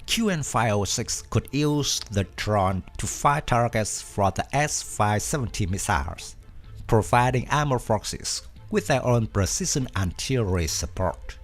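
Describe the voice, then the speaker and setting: Man narrating with a lively voice. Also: medium pitch, unhurried. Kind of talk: scripted documentary narration. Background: faint background music.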